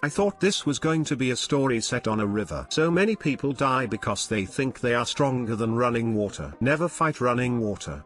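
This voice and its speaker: A man reads story posts aloud in a steady, even manner, his voice sitting in a middle register. Soft music runs quietly beneath him the whole way through. He keeps the pace of a scripted narration. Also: medium pitch. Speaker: narrator reading online posts.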